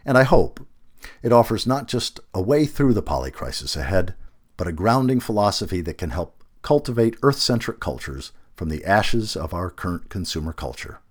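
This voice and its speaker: Male narrator, deep pitch, animated intonation, scripted essay reading, measured deliberate phrasing. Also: slightly rough. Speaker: narrator reading an article aloud.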